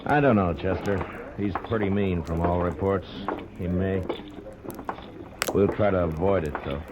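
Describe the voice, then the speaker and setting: An actor playing a tough, plain-spoken American U.S. Marshal in a 1950s radio western, with folksy turns of speech. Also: male voice, deep voice, measured pace. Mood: matter-of-fact. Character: steady, tough frontier U.S. Marshal of the Old West. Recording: noisy old radio recording, clicks.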